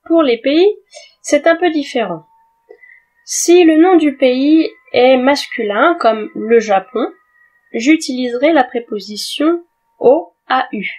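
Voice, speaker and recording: A woman gives a grammar lesson at a slow, careful pace. Her voice sits a little high, and she speaks clearly and deliberately, spelling words out letter by letter. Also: a little mellow. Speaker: French teacher.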